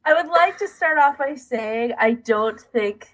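A woman co-hosting a podcast, talking casually in a halting, self-deprecating manner. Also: high voice, lively intonation, fairly bright tone, rough recording. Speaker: podcast co-host.

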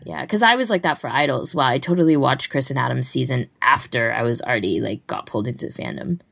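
A woman's voice: rumbling low, fast, creaky, fairly bright tone, no echo, clean studio sound. A woman co-hosting a podcast in casual discussion, conversational and loosely phrased. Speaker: podcast host.

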